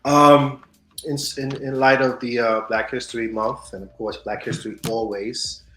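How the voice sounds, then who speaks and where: A man co-hosting a casual news and culture show, animated, swinging between loud hype and soft asides. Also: medium pitch, moderate pace, a little hiss or hum. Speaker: podcast co-host. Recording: echoey room with faint music.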